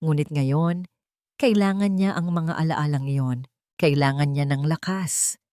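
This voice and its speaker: A woman narrator with a fairly low voice reads a scripted romantic drama. The recording is dry and close, with very little room sound. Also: unhurried, dark tone, clear, resonant tone, no echo.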